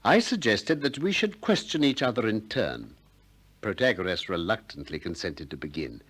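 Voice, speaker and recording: British accent, shrewd ancient philosopher, animated intonation, measured questioning, courteous persistence, 1960s radio drama. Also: male voice, lowish voice, breathy edge, exaggerated voice. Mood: wry narrating.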